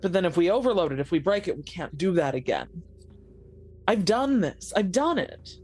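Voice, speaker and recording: A woman's voice: lowish voice, big pitch swings, normal speed, darkish tone, breathy edge, soft background music. A woman playing a shaken, sick and desperate young woman with a brooding bent, in a horror tabletop roleplay. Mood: agitated.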